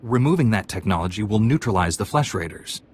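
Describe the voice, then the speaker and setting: An actor playing a confident, earnest young warrior apprentice in a video game, assured and direct. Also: male voice, lowish voice, animated, fairly quick, darkish tone, slightly rough. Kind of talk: video game dialogue acting.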